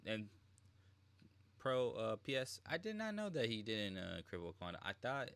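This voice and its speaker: A man's voice: fairly low pitch, animated, moderate pace, gravelly, fairly bright tone, clean tone, no echo. A man giving casual live reaction commentary as a game streamer, slangy and informal.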